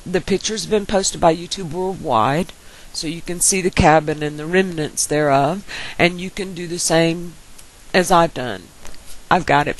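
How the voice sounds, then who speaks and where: A woman's voice: sits low, rough recording. A woman with a very nasal voice, talking to her viewers in a casual, meandering vlog monologue. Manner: meandering, speculative talk. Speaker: YouTube commentator replying to viewers.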